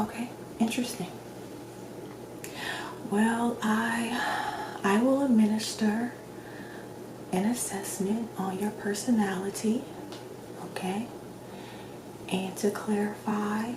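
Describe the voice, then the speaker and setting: A woman speaking softly and gently, often slipping into a whisper, in a measured ASMR roleplay as a doctor. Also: medium pitch. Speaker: ASMR creator playing a doctor. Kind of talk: soft-spoken ASMR roleplay. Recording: roomy echo with clear background noise.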